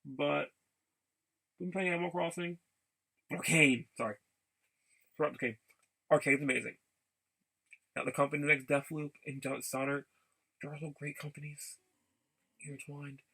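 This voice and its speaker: A man giving a casual, rambling vlog update in a gravelly voice, haltingly and often repeating his phrases. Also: fairly high pitch, fairly even intonation, pushed and bright. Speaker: video blogger.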